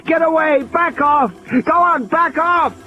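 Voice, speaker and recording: Man acting a panicked man shouting, high-pitched. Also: a little slow, lo-fi sound. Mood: panicked defiance. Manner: shouting at the top of his lungs. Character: panicked man yelling defiantly at a dinosaur.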